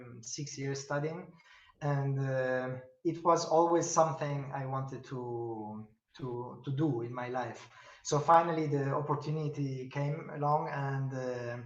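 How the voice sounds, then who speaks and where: A middle-aged man, a pianist and professor, answering interview questions in English, halting and thoughtful with many fillers and restarts. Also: medium pitch. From Spain. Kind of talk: video-call interview answers. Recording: roomy, distant, dull video-call audio.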